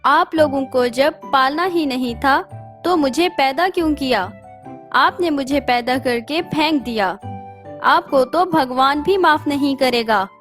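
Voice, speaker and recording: A woman playing a hurt, reproachful young girl in a children's storybook tale, plaintive and deliberate. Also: high-pitched, fairly bright tone, faint music behind. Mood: bitter reproach.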